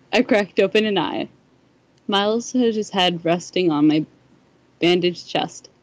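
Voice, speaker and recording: A woman's voice: on the low side, takes it slowly, dry recording. A woman reading a first-person story aloud on a podcast, sometimes stumbling over the words. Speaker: fan fiction podcast reader.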